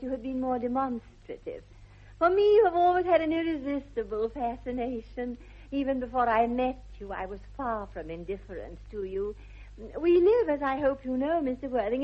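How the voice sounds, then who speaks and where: High-voiced, poised English society girl. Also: animated, moderate pace. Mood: assured, romantic. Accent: upper-class English. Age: young adult. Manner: precise, arch, self-possessed. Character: poised, self-assured, smart young English society lady. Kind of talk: 1960s British radio comedy acting. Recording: slightly dull vintage radio recording.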